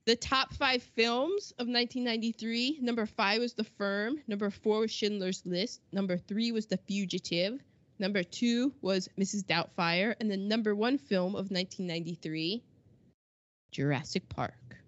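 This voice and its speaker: A woman's voice: medium pitch, moderate pace, no room sound, lo-fi sound. A woman in her forties co-hosting a podcast, casually running through past events from her notes and acting out little quotes. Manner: chatty, quips and acts out quotes. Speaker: podcast co-host. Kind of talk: casual chat reading out history notes.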